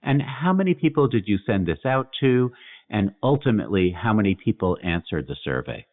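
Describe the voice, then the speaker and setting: Lively low-voiced man on a tinny line. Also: fairly quick. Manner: polite, inquisitive interviewer. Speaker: podcast host, physician. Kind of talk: podcast interview questions.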